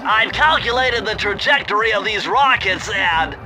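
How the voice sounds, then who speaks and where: An excitable nerdy turtle genius. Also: male voice, high voice, normal speed, poor audio. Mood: explaining. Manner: eager, technical, precise. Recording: game soundtrack music behind.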